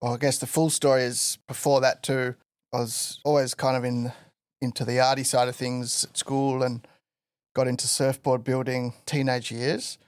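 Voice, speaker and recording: Relaxed, rambling man. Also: mid-range voice, moderate pace, smooth, dry recording, studio quality. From Western Australia. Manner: relaxed rambling storyteller with many fillers. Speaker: guest artist. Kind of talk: casual podcast interview.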